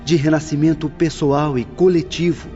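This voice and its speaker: Mellow spirit mentor, acted by a man, long reverb. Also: on the high side, fairly even intonation, normal speed, close to an everyday voice. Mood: solemn, instructive. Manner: formal, solemn, didactic, courteous. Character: gentle, earnest male spirit mentor teaching a class. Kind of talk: audio drama of a spiritist novel. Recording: dull muffled audio, long reverb, music behind.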